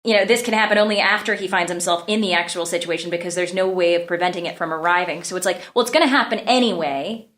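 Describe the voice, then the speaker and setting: Female actor, slightly low pitch, casual, reflective, explanatory, group discussion. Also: fast talker, fairly bright tone. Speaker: actor in a play reading.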